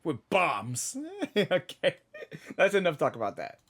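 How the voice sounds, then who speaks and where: Excitable gamer with swooping intonation. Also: medium pitch, unhurried, faint music behind. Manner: excitable, repetitive, playful. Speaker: gaming reaction host. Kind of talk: casual live game commentary.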